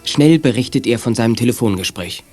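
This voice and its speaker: A man's voice: mid-range voice, expressive, a little fast, a little mellow, lightly acted. A man narrating a children's audio drama in a scripted storytelling style. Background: music under the voice.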